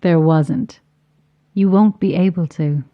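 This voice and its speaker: An actress playing a cold, taunting caller over a radio in a horror audio drama, measured and ominous. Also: female voice, lowish voice, lo-fi sound. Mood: cold, knowing. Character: cold, menacing female caller, insistent and taunting.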